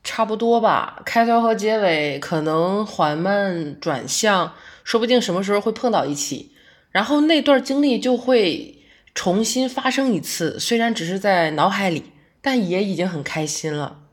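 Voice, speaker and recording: A woman's voice: mid-range voice, lively intonation, normal speed, close to an everyday voice. A woman acting a friendly, reflective working woman, a young adult theatre fan, in conversational, naturalistic audio drama dialogue.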